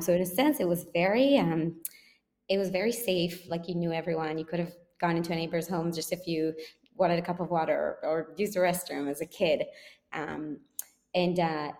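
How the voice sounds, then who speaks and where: A woman from Israel, a startup chief executive, answering interview questions thoughtfully with many pauses and self-corrections. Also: fairly low pitch, darkish tone, long reverb tail. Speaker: startup CEO guest.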